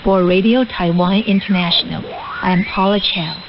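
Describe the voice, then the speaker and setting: A woman's voice: mid-range voice, fairly even intonation, moderate pace, lo-fi sound, loud music behind the voice. A woman presenting scripted radio news on a shortwave broadcast.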